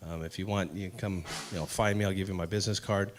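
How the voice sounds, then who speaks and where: Friendly man pitching himself. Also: steady tone, fast, a little hiss or hum. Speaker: real estate wholesaler.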